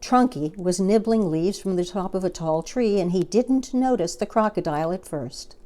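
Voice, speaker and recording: A woman's voice: medium pitch, moderate pace, dark tone. A woman reading a children's storybook aloud as narrator.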